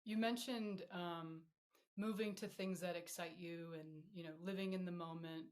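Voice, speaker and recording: A woman's voice: on the low side, fairly even intonation, moderate pace, darkish tone. A woman co-hosting a podcast, asking a friendly follow-up question. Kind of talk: interview questions.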